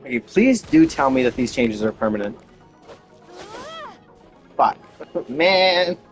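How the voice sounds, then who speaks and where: A man's voice: on the high side, very animated, slow pace, faint music behind. A man giving casual, off-the-cuff commentary as he plays and streams a video game.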